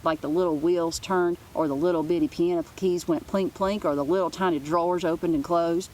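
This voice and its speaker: A woman with a slightly low voice narrates a children's book aloud. Her storytelling is informal, and there is faint noise in the background. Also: steady tone, a little fast, darkish tone, low-quality recording. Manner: informal, with asides.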